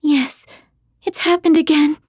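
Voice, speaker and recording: An actress playing a prim, devout young maiden in a video game, with formal, precise speech and firm certainty. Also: female voice, sits high, fairly even intonation, a little slow, dry recording, clean studio sound. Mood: solemn.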